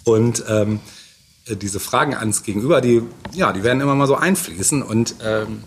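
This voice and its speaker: A man's voice: fairly low pitch, expressive, moderate pace, a little hiss or hum. A man in his forties hosting a casual podcast, rambling and hesitant with many fillers. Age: 40s. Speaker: podcast host. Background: faint music.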